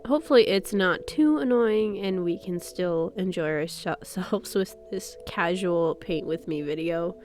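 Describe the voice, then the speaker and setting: A young woman with a stuffy, under-the-weather voice, chatting loosely as she paints and filling pauses with ums and little laughs. Her voice sits fairly low for a woman. Faint music plays under her talk. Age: young adult. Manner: rambling and chatty, with soft laughs and fillers. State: stuffy, sick voice with a cough. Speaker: art channel host. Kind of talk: casual chat over a paint-with-me video.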